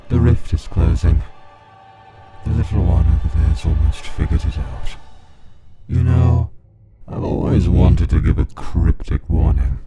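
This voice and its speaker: A man voicing an ancient deathless monster, coolly menacing and mocking, speaking deliberately and cryptically in a comic dub. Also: low voice, heavily acted. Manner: slow, deliberate, cryptic, condescending. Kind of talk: dramatic comic dub voice acting.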